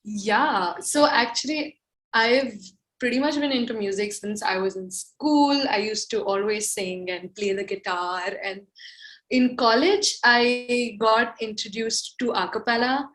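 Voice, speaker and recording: A woman's voice: a little high, a little slow, a bit bright. A young woman, a singer guest reflecting thoughtfully in a casual interview. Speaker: musician guest.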